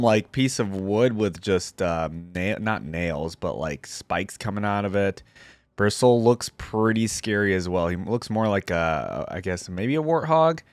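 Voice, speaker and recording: A man's voice: on the low side, no echo. A man giving casual reaction commentary as a video host, thinking aloud as he goes.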